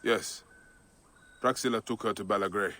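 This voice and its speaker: Game lead: brooding male avenger, low gravelly tone with hard edge, grave intensity, score behind. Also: steady tone, moderate pace, bright, forceful tone. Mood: earnest. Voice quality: gravelly, husky. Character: brooding, vengeful Egyptian warrior lawman, bereaved father. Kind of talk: dramatic video game acting.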